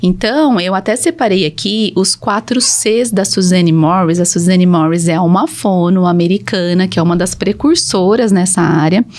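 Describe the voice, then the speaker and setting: A woman's voice: on the low side, normal speed, few pauses, a little mellow. A woman with a Brazilian Portuguese accent, a speech therapist explaining things methodically and with emphasis in a podcast conversation.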